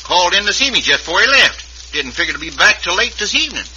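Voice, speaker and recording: An old, cranky frontier dad with a rural American Western accent. His voice is sharp and very animated, full of folksy grumbling. The radio drama recording is old and dull. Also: high voice, fast talker, slight background noise, full character voice. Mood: puzzled, alarmed. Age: older. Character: crotchety old frontier father laid up injured. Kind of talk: 1950s Western radio acting.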